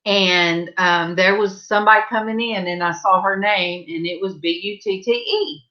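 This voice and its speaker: A woman's voice: mid-range voice, moderate pace, dull audio. A woman from California telling a funny work story during a casual video call chat. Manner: animated storyteller, acts out quoted voices. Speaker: panel guest.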